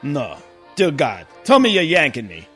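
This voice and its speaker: An actor playing a stressed, irritable Italian-mob boss in a comedic radio play, blustering and hesitant. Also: male voice, a little high, huge pitch swings, moderate pace, a bit bright, faint music behind. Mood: panicked despair. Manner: blustering, hesitant, peppered with mild swearing. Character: stressed, irritable Italian-American mob boss who secretly runs pizzeria.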